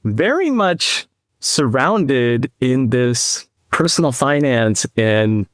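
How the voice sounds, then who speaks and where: A man in his fifties from New Jersey, speaking at an ordinary middle pitch. He is a guest telling his own story in long, reflective runs, calm and steady. The recording is dry and close, with no room sound. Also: slow pace, dry recording. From New Jersey, US East Coast. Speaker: podcast guest, financial advisor. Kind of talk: conversational interview storytelling.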